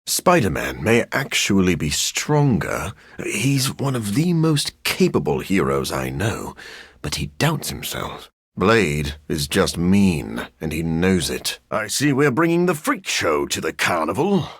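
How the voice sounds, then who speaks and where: An actor playing a brooding, self-loathing scientist turned vampire in a video game, husky, with a dry, measured, formal manner. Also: male voice, low voice, very animated, a bit bright, no echo, exaggerated voice. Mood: dry sarcasm. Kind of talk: video game character voice lines.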